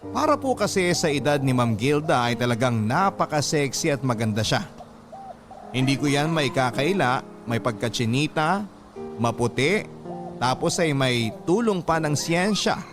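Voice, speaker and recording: A man narrates a scripted letter for a radio love-story drama. His voice is medium in pitch, measured and earnest, with music clearly playing behind him. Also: lively intonation. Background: background music.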